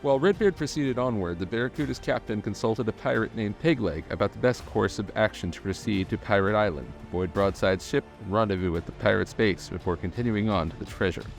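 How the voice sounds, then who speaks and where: Low-pitched male narrator over music. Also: a little fast, creaky, hardly any pauses. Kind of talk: scripted documentary-style narration. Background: background music.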